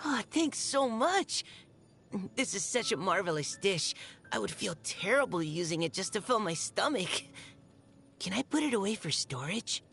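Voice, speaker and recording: A young woman voicing a shy, gentle, earnest army scout in a video game, speaking politely and hesitantly. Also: on the low side. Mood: warm, grateful. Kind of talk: video game character voice lines. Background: music.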